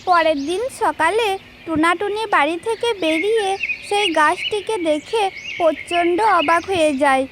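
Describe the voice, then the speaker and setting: A woman's voice: very high-pitched, moderate pace, noisy background. A woman storyteller narrating a scripted fairy tale for a children's cartoon. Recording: loud children's music and birdsong behind.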